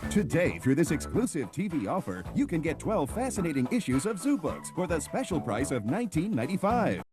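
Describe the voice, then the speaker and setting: Male TV ad announcer over loud music. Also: a little high, sing-song, brisk. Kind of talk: vintage TV commercial. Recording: old TV ad with loud music bed.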